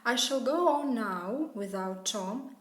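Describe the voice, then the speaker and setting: A stingy, scheming farmer from a bedtime tale, read by a woman. The speech is slow, with a widely moving pitch. It sounds in a roomy echo. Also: animated, fairly bright tone. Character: miserly, scheming male farmer.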